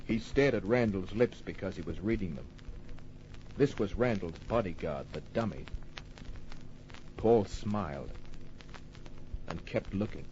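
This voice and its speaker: Tough dry detective from old radio crime serial, few words, wry, muffled hissy old recording. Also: male voice, low voice, expressive, unhurried, long pauses, darkish tone. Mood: hard-boiled narration. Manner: laconic, dry, hard-boiled. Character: tough, wry private detective narrating his case. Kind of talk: old-time radio crime drama narration and acting.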